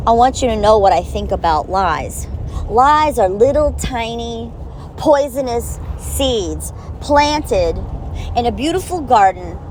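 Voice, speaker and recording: A woman with a high-pitched voice gives a blunt, profane monologue straight to the listener, slowing down to stress key words. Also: lively intonation, darkish tone, slight background noise, low-quality recording. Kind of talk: direct-to-camera monologue.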